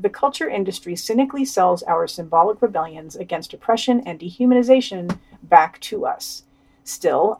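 A woman with a medium-pitched voice reads article summaries and long quotations as a podcast presenter. Her delivery is scripted, with wry commentary mixed in. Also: darkish tone. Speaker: podcast news reader. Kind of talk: reading written summaries and quotes aloud with commentary.

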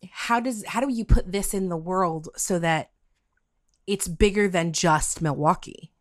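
Podcast interviewer: middle-aged woman, slightly low voice, very dry sound, informal, thinks aloud with repeated words. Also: dry recording. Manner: halting, rambling, repeats words while thinking. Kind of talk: casual conversational interview.

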